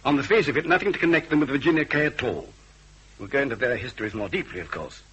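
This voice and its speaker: Very raspy, weary British police detective. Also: male voice, medium pitch, lively intonation, fast talker, a bit bright, poor audio. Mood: tired, businesslike. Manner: clipped, terse phrasing. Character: weary, matter-of-fact male Scotland Yard police detective. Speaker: supporting actor in detective drama. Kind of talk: classic British radio drama acting.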